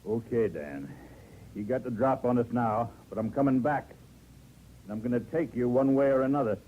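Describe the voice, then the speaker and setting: An American man playing a steady, firm small-town sheriff in a 1950s radio drama, plain-spoken and folksy. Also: medium pitch, normal speed, lightly acted. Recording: tinny, very noisy old radio recording.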